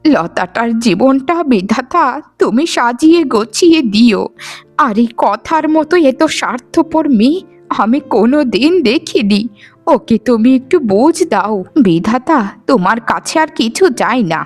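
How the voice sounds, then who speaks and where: Woman acting an expressive, high-voiced village mother. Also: measured pace, faint music behind. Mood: sorrowful, pleading. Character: anxious, pleading village mother of twin daughters. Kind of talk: animated moral-tale voice acting.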